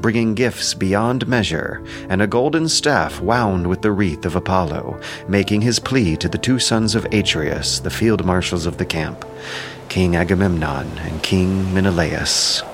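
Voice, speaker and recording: A man's voice: sits low, measured pace, music in the background. A man delivering solemn, declamatory scripted narration of an epic in an audio drama.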